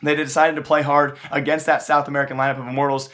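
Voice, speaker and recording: A hoarse young man hosting an esports news show, talking through the stories in a quick, rambling way. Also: a little high, level tone, a bit bright. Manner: rapid, rambling, many fillers. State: losing his voice, hoarse. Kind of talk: fast news commentary.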